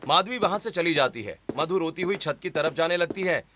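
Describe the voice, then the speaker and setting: A man's voice: a little high, a little fast, pushed and bright, a little hiss or hum. A man narrating a scripted cartoon family story.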